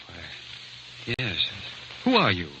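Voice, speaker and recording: A man's voice: low-pitched, wildly swooping pitch, very slow, pushed and bright, heavily acted. A man acting a nervous young man accused of spying in an old radio drama, hesitant, stammering and protesting. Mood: uneasy. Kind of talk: 1930s-40s radio acting. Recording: muffled, hissy old radio recording.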